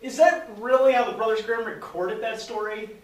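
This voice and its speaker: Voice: mid-range voice, moderate pace. Comic co-narrator in a very echoey room. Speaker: second narrator. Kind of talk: theatrical comic interjections. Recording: echoey noisy room, distant mic.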